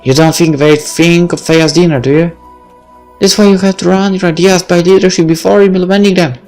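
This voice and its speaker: A man's voice: high voice, fairly even intonation, a little fast, darkish tone, faint music behind, voice pushed up. A man voicing a self-important, bossy, geeky young heroine who talks pompously in a story.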